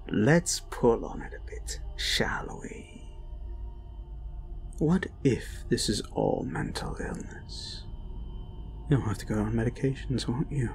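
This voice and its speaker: A man playing an ancient, cruel incubus demon in a dark roleplay audio, mocking and gloating, with slow menace and breathy whispers. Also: medium pitch, full character voice. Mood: savoring cruelty. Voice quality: creaky, breaking into breathy whispers. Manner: slow, menacing, taunting, drawn-out. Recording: ominous music behind the voice.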